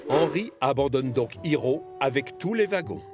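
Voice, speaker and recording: A man narrating a children's cartoon in a lively, expressive storytelling manner. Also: medium pitch, moderate pace. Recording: narration over music.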